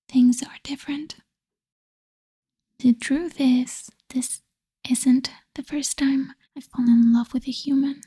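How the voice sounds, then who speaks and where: A woman voicing a possessive, lovesick female vampire in an intimate, breathy ASMR roleplay whisper, pleading and tender yet menacing. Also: high-pitched, dark tone, no echo, clean studio sound, heavily acted. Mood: wistful, confiding. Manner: slow, pleading, intimate delivery.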